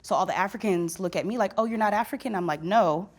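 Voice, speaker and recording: A woman's voice: mid-range voice, fast talker. A woman in her twenties speaking with confidence and pride about her body. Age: 20s. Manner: confident, proud. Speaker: participant. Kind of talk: candid conversation.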